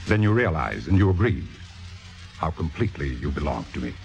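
A man's voice: extremely low pitch, sing-song, a little fast, vocal fry, heavily acted. A man playing a cynical, commanding theater critic in a vintage radio drama, with precise, sardonic, possessive delivery. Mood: controlling. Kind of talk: 1950s radio drama acting. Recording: dull vintage radio recording, music behind.

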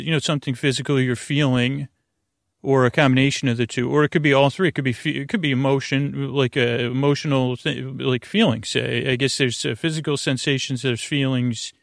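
Male sleep podcast host: gravelly creak, close dry sound, soothing, wandering, stop-start delivery. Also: medium pitch, no echo. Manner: meandering, halting, repeats himself, drifts into tangents; soothing, lulling. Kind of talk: rambling bedtime sleep podcast monologue.

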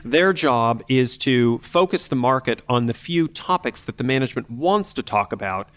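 A man speaking as an expert podcast host, explaining things in an articulate, analytical and measured way. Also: medium pitch, expressive, a bit bright.

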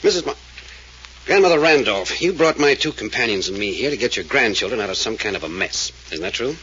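A man's voice: fairly low pitch, animated, fairly quick, pushed and bright. A man playing a blunt, probing private detective in a 1940s radio drama, questioning insistently. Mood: stern. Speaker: hired detective. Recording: dull lo-fi old radio recording with faint hiss.

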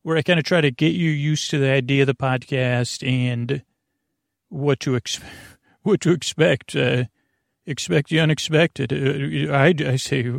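Gravelly guy doing a goofy sleep podcast ramble, keeps losing his thread mid sentence, really dry close sound. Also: mid-range voice, steady tone, no echo. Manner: meandering, halting, goes off topic mid-sentence; goofy, self-deprecating. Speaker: podcast host.

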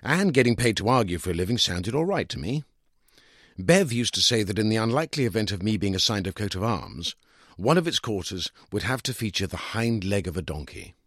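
Male actor as middle-aged English lawyer narrating a story: smug, argumentative, condescending. Very animated pitch, slightly husky, dry close sound. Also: no echo, heavily acted. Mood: self-satisfied. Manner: opinionated, condescending, emphatic. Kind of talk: dramatised first-person short story reading.

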